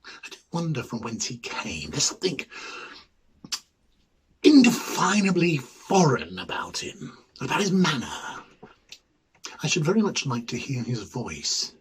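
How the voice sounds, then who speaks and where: A man playing an ailing, lonely, fussy bachelor academic in a dramatic ghost-story monologue, musing hesitantly and theatrically in a husky voice. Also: mid-range voice, sing-song, unhurried, pauses often, exaggerated voice, poor audio. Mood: wistful curiosity. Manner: hesitant, musing, theatrical, with murmured asides and swelling emphasis.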